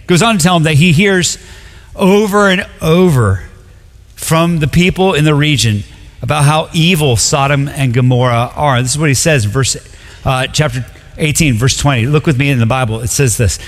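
Young guy from colombia preaching, kinda highish voice, chatty and loose, big echoey hall. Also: moderate pace. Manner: casual, conversational preaching with frequent "like" and restarts. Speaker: pastor. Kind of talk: sermon. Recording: long reverb of a large hall.

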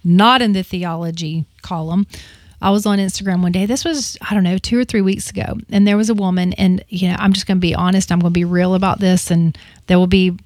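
A woman's voice: lowish voice, fairly even intonation, fairly quick, darkish tone, dry recording, clean studio sound. A woman in her fifties, a radio host talking casually and confessionally on air, with many restarts. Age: 50s.

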